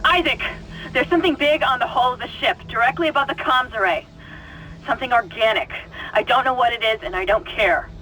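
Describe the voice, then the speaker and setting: A woman's voice: a little high, fairly quick, sharp tone, a little hiss or hum, rough recording. An actress playing a tense, bossy young woman crew member in a video game, urgently giving clipped orders over a radio. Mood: alarmed, urgent.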